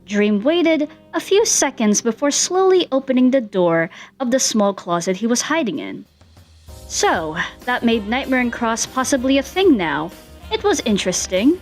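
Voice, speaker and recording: A woman reading fan fiction as an expressive scripted story narrator. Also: a little high.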